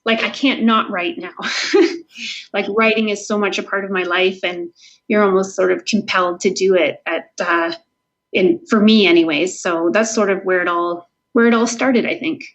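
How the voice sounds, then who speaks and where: A woman's voice: medium pitch, fairly even intonation, moderate pace, lo-fi sound. Canadian woman, earnest interview guest. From Southern Ontario, Canada. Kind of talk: conversational interview answers.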